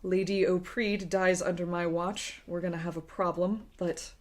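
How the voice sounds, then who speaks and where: A woman voicing a grave, resigned man who speaks in a quiet, measured, serious way in a tabletop game. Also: on the low side, fairly even intonation, natural voice.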